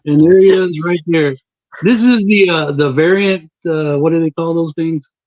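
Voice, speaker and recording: A man's voice: a little high, moderate pace, a little mellow, no room sound, lo-fi sound. A man from West Texas chatting casually about his comic and record collection, drawling and easygoing. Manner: laid-back, drawling, says "baby" and "man". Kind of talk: casual group chat on a livestream.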